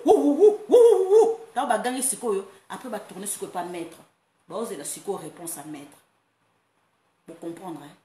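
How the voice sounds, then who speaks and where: A woman from France hosting a casual online monologue, animated and quick to laugh, breaking into playful chants. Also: medium pitch. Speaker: online talk host. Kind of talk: casual monologue, mixing Lingala and French. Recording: roomy echo, faint background noise.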